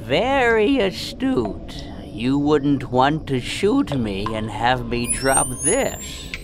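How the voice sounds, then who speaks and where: A crooked space sheriff drawls very slowly and mockingly, his pitch swooping as he taunts with false politeness. It is a smug sci-fi villain over clear background noise. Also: very animated. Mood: smug, taunting. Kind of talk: sci-fi audio drama acting. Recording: noisy with ambient sound behind.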